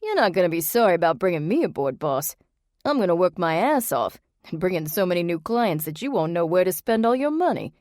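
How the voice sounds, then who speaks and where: A woman's voice: fairly low pitch, expressive, normal speed, vocal fry, no room sound, studio quality. Woman doing a brash Jersey-accented young man.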